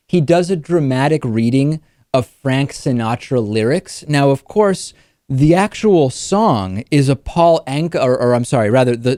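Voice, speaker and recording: Guy hosting a politics show, average pitch, chatty commentary, no room sound. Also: mellow, no echo, studio quality. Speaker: political talk show host.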